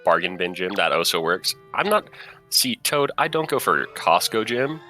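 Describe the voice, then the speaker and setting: A man with a very low, bright-toned voice calls a game in a relaxed, joking way. His voice is soothing, and faint music plays behind him. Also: moderate pace. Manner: playful, self-deprecating, laughs often. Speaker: commentator. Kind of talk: casual game commentary.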